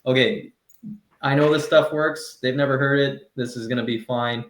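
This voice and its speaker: A man in his thirties co-hosting a comedy podcast, ranting in animated, profane casual chat and laughing as he talks. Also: medium pitch, moderate pace, roomy sound. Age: 30s.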